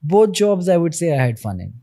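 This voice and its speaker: A man in his thirties with an Indian accent, a podcast guest explaining things in a measured way with frequent pauses. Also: a little high, very animated, warm tone, no room sound. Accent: Indian English. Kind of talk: podcast interview.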